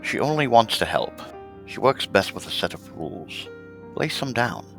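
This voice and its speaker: A man playing a mild, reasonable craftsman in an audio drama, gentle and advising in manner. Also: fairly low pitch, very animated, normal speed, music in the background. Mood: reassuring. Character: mild, reasonable male craftsman, helpful and curious. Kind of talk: amateur audio drama acting.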